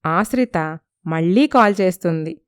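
A woman narrating a scripted story in a clear, smooth voice. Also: fairly low pitch, expressive, a little slow, no echo, studio quality.

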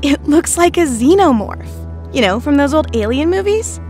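An actress playing an excitable young female mutant who exclaims eagerly. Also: high voice, moderate pace, soft background music. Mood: excited. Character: excitable young woman mutant, phasing teen.

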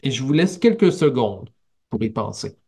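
A man's voice: medium pitch, very animated, gravelly, mellow, breathy edge. A man in his forties with a Québécois French accent, preaching a sermon over a headset on a video call from a small office. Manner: slow, measured preaching with pauses and rising emphasis. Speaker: pastor.

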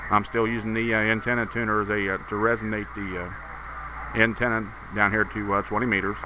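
A man's voice: on the low side, little pitch movement, brisk. A man giving an informal hands-on demonstration of his radio equipment, explaining hesitantly and unscripted. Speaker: amateur radio hobbyist. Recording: noisy home video with radio hiss.

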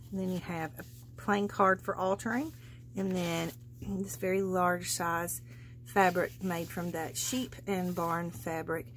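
A woman's voice: medium pitch, slight background noise. A woman casually narrating a flip-through of her handmade journal as a craft seller, chatty and gushing, with many fillers. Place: indoors at a craft table. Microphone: overhead camera, speaker off-screen.

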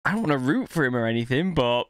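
A man's voice: medium pitch, expressive, fast, a little hiss or hum. A man in his thirties from the United Kingdom giving casual, awed reaction commentary close to a desk microphone. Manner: awed, informal. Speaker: reaction video host. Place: small indoor streaming setup. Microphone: close desk microphone, headphones on. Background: loud game cutscene music.

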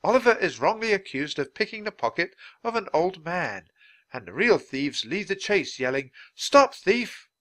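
A man voicing a kindly, indignant English gentleman author in a storybook, with grand, emphatic speech and a theatrical manner. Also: a little high, sing-song, moderate pace, voice pushed up. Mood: warm, expansive.

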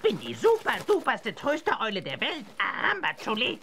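Wildly swooping cheeky little owl. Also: female voice, fairly high pitch, wildly swooping pitch, moderate pace, a bit bright, slight background noise. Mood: cheeky, boastful. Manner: boastful, garbled made-up pseudo-Latin words. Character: cheeky, tiny blue magical talking comfort owl. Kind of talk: children's audio drama acting.

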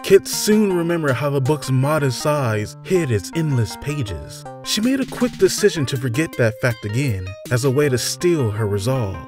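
A man narrating a scripted fantasy story in a measured, deliberate, theatrical storytelling manner. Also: medium pitch, animated. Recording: music behind the voice. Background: background music.

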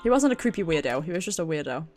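A woman's voice: sits low, very animated, very fast, over music. A British woman, a streamer giving chatty, giggly commentary while playing a video game in a casual livestream.

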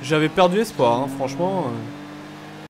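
Lively high-pitched man gaming. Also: a little slow, background noise. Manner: playful, teasing, slangy. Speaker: gamer, video creator. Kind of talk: casual live gameplay trolling chat.

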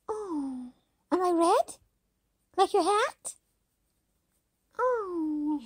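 A squeaky little green dinosaur, as a woman puts him on, childlike and easily excited. The voice sits very high and swoops wildly up and down, drawn out slowly, with gasps, eager questions and sudden whispers. Dry and close, with no room around it. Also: dry recording, full character voice. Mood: curious. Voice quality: high, squeaky, sing-song. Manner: gasping and exclaiming, asks questions, drops to whispers. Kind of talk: pretend play with a toy for a child.

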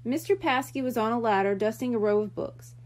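A woman reading a children's mystery book aloud in expressive storytelling narration. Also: on the high side, a little fast.

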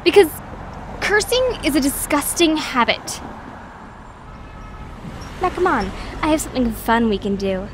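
A woman playing a prim, teasing mentor to a young girl in an audio drama, with measured delivery. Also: sits high, sing-song, background noise. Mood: prim, playful. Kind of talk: podcast audio drama acting.

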